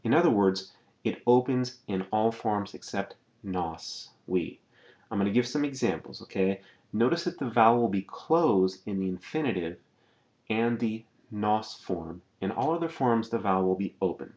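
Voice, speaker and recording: Casting: low-voiced male teacher, patient, drawn-out example words, dull lo-fi voice-over. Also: lively intonation, a little mellow. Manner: patient, repeats words slowly and checks in with "okay?". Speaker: language teacher. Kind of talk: slide-based language lesson.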